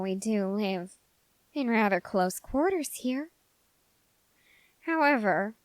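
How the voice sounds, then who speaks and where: An obsessive young male soldier, voiced by a woman, speaks slowly and close in a very dry recording. He is intense and halting, with formal phrasing, in an intimate ASMR roleplay. His voice sits fairly high for the part. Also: no room sound. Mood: frustrated, suspicious.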